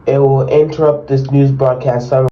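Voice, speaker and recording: A man's voice: mid-range voice, flat delivery, normal speed, natural voice. A man playing a formal TV news anchor delivering a mock breaking-news report. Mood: concerned. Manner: formal, announcing. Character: formal male TV news anchor during emergency. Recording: muffled lo-fi audio, faint noise.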